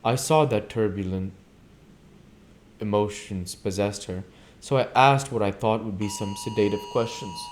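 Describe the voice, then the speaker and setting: A man reading a classic novel aloud as an amateur audiobook reader, with deliberate, emphatic, theatrical delivery. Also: lowish voice, a little hiss or hum.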